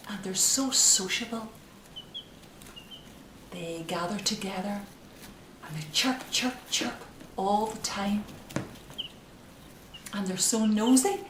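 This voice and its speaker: A middle-aged woman storyteller narrating simply and gently for young children, in a sing-song, deliberate way. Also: mid-range voice. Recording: roomy, noisy, distant microphone.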